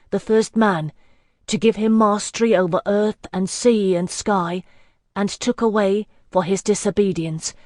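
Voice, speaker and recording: A woman's voice: fairly low pitch, a little mellow, dry recording, natural voice. A once carefree young prince, now desperate, as a woman reading the audiobook puts him on. His lines come raised, strained and urgent, lifted above the narration. The sound is close and dry. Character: young man, careless prince turned desperate.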